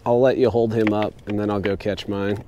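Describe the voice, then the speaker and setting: A man's voice: lowish voice, level tone, brisk, warm tone, lo-fi sound, slight background noise. A man chatting and teasing his buddies while fishing from a boat on a river, filmed by an action camera. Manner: joking, coaching, teasing. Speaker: fishing guide and channel host. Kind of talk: casual banter while fishing. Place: small boat on a wide river outdoors.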